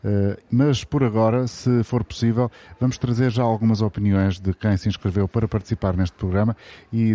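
A male radio host with a European Portuguese accent and a low, mellow voice. He steers a live sports discussion in long, formal, measured sentences, and his tone stays soft and relaxed.

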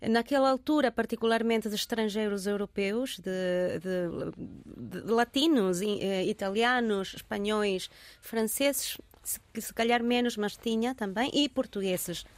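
A woman from Venezuela, a singer and former professor, telling stories in a reflective, unhurried way during an interview. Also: mid-range voice, no room sound. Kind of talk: conversational interview.

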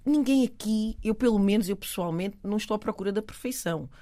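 A middle-aged woman with a European Portuguese accent, chatting as a guest, animated, laughing often and repeating words while she searches for them. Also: mid-range voice, fast talker. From Portugal and Cape Verde. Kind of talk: casual conversation.

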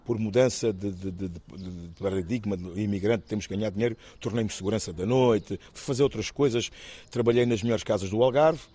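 A man in his fifties from Guinea-Bissau, talking about his life in an interview, thoughtful and halting, with many restarts. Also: on the low side, dark tone. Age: 50s. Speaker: interviewee, actor and musician. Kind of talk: reflective personal interview.

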